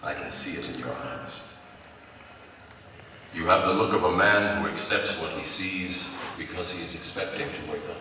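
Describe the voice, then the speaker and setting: A man playing a deep, solemn mysterious mentor. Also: background noise, close to an everyday voice. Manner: slow, measured, solemn speech. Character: calm grave mysterious male mentor. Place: large conference hall. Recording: film clip played over hall speakers, heard from far off.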